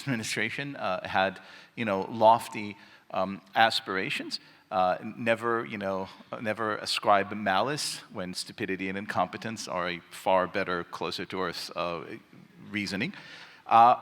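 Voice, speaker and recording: A man giving opinionated panel commentary in a wry, sardonic way, halting and repeating words as he builds his point. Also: mid-range voice, fairly bright tone. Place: large conference hall, amplified stage. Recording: echoey hall, long reverb.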